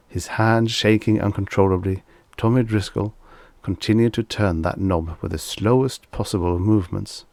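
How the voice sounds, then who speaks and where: A man reading a scripted short story as an audiobook narrator, in a measured, expressive storytelling manner. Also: low voice, vocal fry, dry recording.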